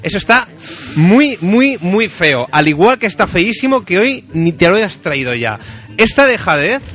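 A man with a fairly high voice and a Spain Spanish accent hosts a casual radio show. He banters informally and leans on repeated words for emphasis. Music plays clearly behind him. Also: very animated, unhurried. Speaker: radio host. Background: loud music.

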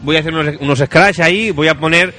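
A man with an accent from Spain hosting a casual radio show, chatting in an excitable, rambling and sweary way. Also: fairly high pitch, quick pace, faint music behind. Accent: Spanish from Spain. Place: radio studio, at a microphone.

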